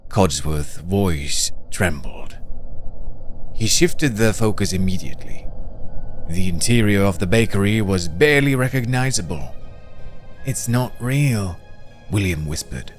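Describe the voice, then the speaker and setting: Deep, slow male storyteller, lively and dramatic delivery, with music underneath. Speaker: narrator. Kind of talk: scripted audiobook narration. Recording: narration over music bed.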